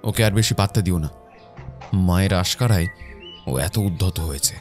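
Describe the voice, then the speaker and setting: A man's voice: deep voice, normal speed, a little mellow, faint music behind, natural voice. A man playing a weary, easily swayed young husband in a village cartoon, grumbling and a little put-upon. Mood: indignant. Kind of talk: animated cartoon moral-story acting.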